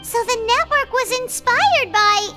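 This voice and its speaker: A woman's voice: very high voice, expressive, a little slow, sharp tone, exaggerated voice. A woman voicing a small, perky girl companion in a video game who asks curious questions. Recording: game audio with music behind.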